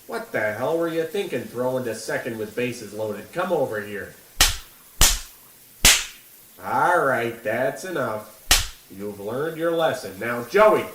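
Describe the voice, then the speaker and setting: Bullying ball coach, male actor: scolding, mid-pitched, comedy radio play, off-mic in an echoey room. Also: lively intonation, measured pace, close to an everyday voice. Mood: stern, scolding. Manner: stern, scolding. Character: gruff, bullying small-town baseball coach. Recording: distant, echoey room with faint noise.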